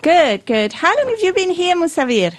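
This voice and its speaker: A woman's voice: very high voice, big pitch swings, normal speed, no room sound. Chatty woman radio host, dry sound. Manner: chatty, encouraging. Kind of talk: community radio interview. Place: radio studio.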